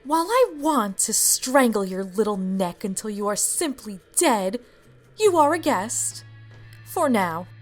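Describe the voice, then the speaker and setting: A woman's voice: mid-range voice, big pitch swings, measured pace, soft background music. A woman voicing a sugary fairy queen with a violent temper, sweetly condescending until she shrieks, in a comic fan dub.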